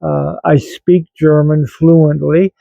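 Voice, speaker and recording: A man in his seventies with an American accent, talking reflectively and haltingly to online viewers in a rambling personal vlog. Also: a little high, flat delivery, creaky, warm tone, no room sound, dull audio. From grew up in West Virginia, United States. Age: 70s. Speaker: vlog host.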